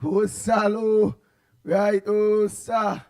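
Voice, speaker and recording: Excitable host, high voice. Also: male voice, drawn-out words. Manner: excitable, laughing, exclamatory. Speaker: radio show host. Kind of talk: casual talk-radio banter.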